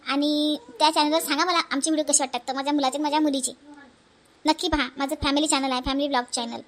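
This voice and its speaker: A woman's voice: high-pitched, fairly even intonation, quick pace. A woman hosting her cartoon channel, addressing viewers casually with emphatic, repeated requests. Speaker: cartoon channel creator and host.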